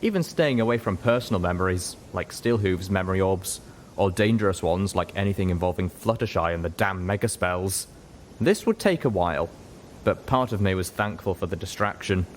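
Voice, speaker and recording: A man's voice: low-pitched, expressive, moderate pace, slight background noise. A man reading a scripted first-person story as a dramatic narrator.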